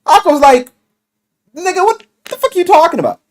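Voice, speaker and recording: A man giving a casual, enthusiastic recap of a story, animated and slangy, swearing freely and acting out the quotes. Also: very high-pitched, fairly quick, noisy background. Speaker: video game and visual novel reviewer. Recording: music playing behind the voice. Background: music.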